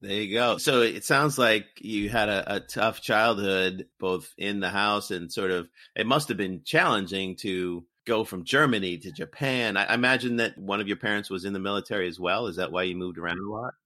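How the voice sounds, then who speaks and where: A man hosting an interview who asks measured, attentive questions. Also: lowish voice, pushed and bright, no room sound. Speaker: podcast host.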